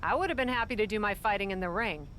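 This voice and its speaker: A woman's voice: medium pitch, fast, a bit bright, a little hiss or hum, close to an everyday voice. Woman doing a tough no-nonsense lady wrestler, blunt and threatening. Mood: menacing. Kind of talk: video game cutscene acting.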